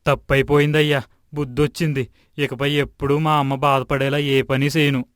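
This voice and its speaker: A man playing a humble, deferential village man in an animated moral story. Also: medium pitch, normal speed, dry recording, clean studio sound, natural voice. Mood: remorseful.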